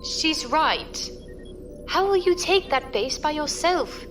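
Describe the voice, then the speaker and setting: Woman acting a high-voiced, playful code breaker. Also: animated, slow, over music. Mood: incredulous. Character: curious, playful female code breaker, pirate's sidekick. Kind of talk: audio drama acting.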